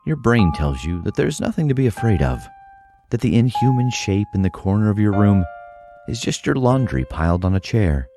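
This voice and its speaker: A man with a deep voice narrates a scripted podcast trailer over a prominent music bed. His delivery is dramatic and suspenseful, with a very animated rise and fall in pitch. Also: moderate pace.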